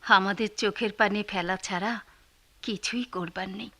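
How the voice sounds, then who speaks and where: A woman's voice: mid-range voice, fairly even intonation, unhurried, sharp tone. An actress playing a helpless, sorrowful middle-aged widowed mother in a radio play, resigned and plaintive. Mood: despairing. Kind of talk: Bengali radio play acting.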